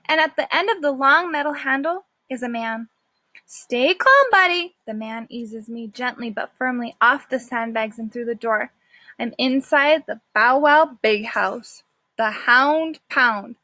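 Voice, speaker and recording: High, bright-voiced woman teacher reading aloud. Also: a little slow, no echo. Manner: simple explanations addressed to children. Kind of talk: expressive children's storybook read-aloud with explanatory asides.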